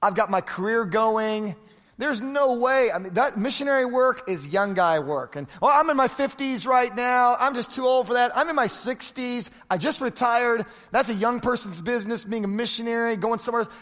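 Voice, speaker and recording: A man's voice: extremely high pitch, a bit bright. A man preaching a sermon, emphatic and repeating phrases for effect, speaking in a large church hall. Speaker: pastor. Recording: long hall reverb.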